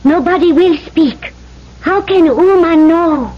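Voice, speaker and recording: An actress playing a meek, devoted young island wife in an old radio drama, speaking simple, halting broken English. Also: female voice, very high voice. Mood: meek, sad. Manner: simple halting pidgin phrasing. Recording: muffled old radio broadcast.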